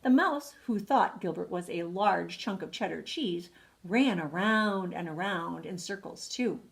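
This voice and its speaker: Older woman reading slowly, very lively pitch. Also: vocal fry. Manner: expressive, with drawn-out emphatic words. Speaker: retired second-grade teacher. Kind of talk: children's bedtime story reading.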